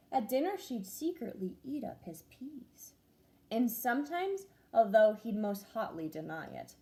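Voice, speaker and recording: A young woman, a first grade teacher, reading a rhyming picture book aloud with expressive, sing-song storytelling. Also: on the high side.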